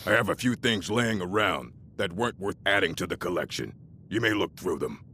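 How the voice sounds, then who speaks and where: An actor playing a gruff, curmudgeonly old orc librarian in a video game, deep and gravelly, with a grumbling, condescending, scholarly manner. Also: male voice, animated, brisk, pushed and bright, slight background noise. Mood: grudging. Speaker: game character.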